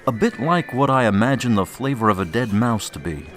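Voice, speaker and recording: An actor playing a fastidious, self-satisfied male wine critic in a radio drama, refined and haughty, speaking in a measured way. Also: mid-range voice, expressive, a bit bright, faint music behind, close to an everyday voice. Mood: disdainful.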